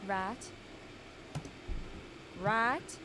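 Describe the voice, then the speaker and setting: A woman playing a gentle, supportive mother in a video game, heard in quiet, noisy stream audio. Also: fairly high pitch, very slow. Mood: tender, encouraging. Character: gentle, supportive farm mother of a grown son. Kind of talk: video game character dialogue.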